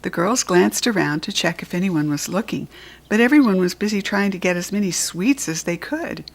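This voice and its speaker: A woman reading a children's storybook aloud, clearly and expressively. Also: lowish voice, animated, normal speed. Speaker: storybook reader.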